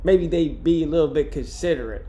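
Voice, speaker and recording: A man's voice: on the high side, brisk, dark tone, natural voice. A man voicing a witty, defiant bar sweeper who grumbles back in folksy grammar, in an amateur novel reading. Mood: sardonic.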